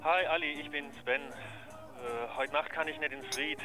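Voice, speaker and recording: Hurried slangy young buddy played by an actor. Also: male voice, on the high side, fairly even intonation, music in the background, close to an everyday voice, rough recording. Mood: hurried, apologetic. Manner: slangy, casual. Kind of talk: radio drama acting, answering machine message.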